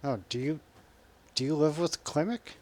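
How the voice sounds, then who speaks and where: A man's voice: a little high, normal speed, darkish tone. Chatty male game streamer. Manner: chatty, reacts to chat and game, laughs often. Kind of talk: casual live-stream chat over gameplay.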